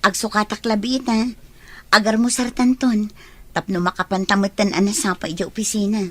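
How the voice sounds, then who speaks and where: A woman's voice: medium pitch, fairly even intonation, a little fast, fairly bright tone, natural voice. A woman playing a hospitable, easygoing young friend in an Ilocano audio drama. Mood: hospitable, casual.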